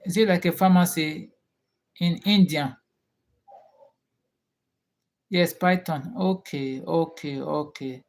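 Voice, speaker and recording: Nigerian guy chatting with his stream viewers, takes it real slow, asks the same thing twice. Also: a little high. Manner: unhurried, repeats questions to chat viewers. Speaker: livestream host. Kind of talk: casual chat with viewers.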